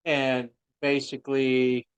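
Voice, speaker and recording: A man's voice: medium pitch, completely flat delivery, takes it slowly, smooth, dry recording. A middle-aged man, an indie author, chatting casually on a podcast in a rambling, self-interrupting way.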